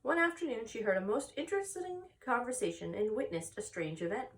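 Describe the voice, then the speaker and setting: A woman's voice: a little high, lively intonation, fairly quick, recorded from a distance. A woman narrating a children's book expressively as a story-time reader, recorded slightly away from the mic.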